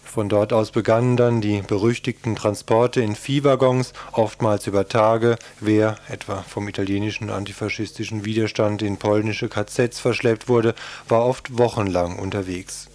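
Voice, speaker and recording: Guy doing serious radio feature narration, lowish pitch, formal. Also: fairly even intonation, normal speed, few pauses, a little mellow. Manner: formal, sober. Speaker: radio reporter. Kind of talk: scripted documentary narration.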